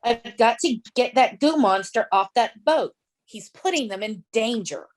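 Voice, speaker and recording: An exasperated talking shark from a comic stage script, voiced by a woman. The voice is somewhat high and very animated in pitch. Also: measured pace. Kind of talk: theatrical script table read.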